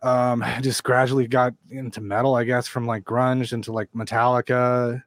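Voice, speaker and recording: A man's voice: mid-range voice, little pitch movement, normal speed, no room sound. A man in his forties talking casually as a podcast guest, laid-back and swearing freely. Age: 40s. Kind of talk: casual chat.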